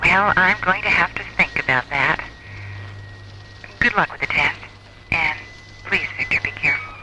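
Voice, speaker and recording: A man's voice: high voice, moderate pace, noisy background, lightly acted. A man playing a cautious, concerned scientist in a science-fiction radio drama, earnest and a little hesitant. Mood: worried, cautioning. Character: concerned, cautious male scientist reporting grave findings.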